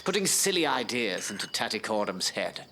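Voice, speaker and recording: An actor playing a polite Victorian gentleman in an audio drama. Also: on the low side, sing-song, moderate pace, background noise. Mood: brisk, concerned. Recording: music and clinking behind.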